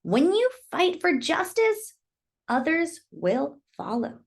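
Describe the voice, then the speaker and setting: A woman reading a children's book aloud in expressive storytelling narration. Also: very high voice, slow pace.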